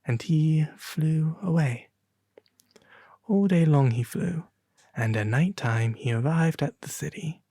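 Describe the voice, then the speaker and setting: A man voicing a refined owl demon prince, reading a fairy tale as a soft, theatrical ASMR storybook roleplay. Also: fairly high pitch, no echo, studio quality. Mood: gentle, wistful. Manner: refined, theatrical, unhurried, breathy asides.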